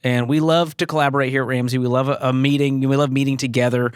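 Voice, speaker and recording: A man's voice: medium pitch, level tone, fast talker, smooth, no echo, studio quality. A man speaking as a podcast host, interviewing in a conversational style.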